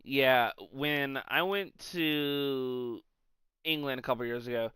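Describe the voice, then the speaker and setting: A man co-hosting a podcast, telling comic anecdotes in a casual, animated, sweary way. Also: mid-range voice, slow, clean tone, no echo, clean studio sound. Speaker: podcast co-host.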